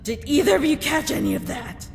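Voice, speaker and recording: A woman voicing a brash, impatient female monster warrior in a fan comic dub, forceful and blunt. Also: high voice, wildly swooping pitch, brisk, gravelly, in a large hall, slight background noise, heavily acted. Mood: worried.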